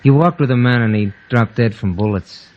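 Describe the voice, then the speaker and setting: An actor playing a bullying, slow-witted American henchman in an old-time radio drama, with rough, slangy menace. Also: medium pitch, darkish tone, dull audio, close to an everyday voice. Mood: menacing.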